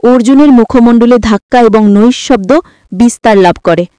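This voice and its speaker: A woman's voice: fairly high pitch, normal speed, warm tone, clear, resonant tone, dry recording. A woman narrating a scripted moral story in formal, literary wording, as a dramatic storyteller.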